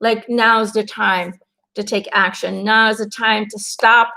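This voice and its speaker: A woman's voice: medium pitch, steady tone, a little slow, vocal fry, sharp tone. A Belgian woman whose first language is Dutch, doing a casual, earnest card reading for viewers and encouraging them with plenty of fillers and restarts. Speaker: intuitive card reader.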